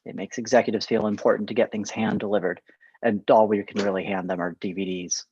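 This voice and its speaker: A man's voice: lowish voice, level tone, quick pace, a little mellow, a little hiss or hum, close to an everyday voice. A man reading the part of a brusque, bossy male TV production boss in a comedy table read, on a slightly dull mic. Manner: curt, matter-of-fact.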